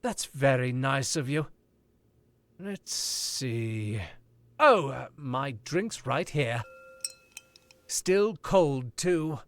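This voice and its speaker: A man's voice: mid-range voice, expressive, extremely slow, pauses often. A man playing a suave, sardonic older author in an old-time radio drama recreation, drawling and wry. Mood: relaxed. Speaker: radio play actor.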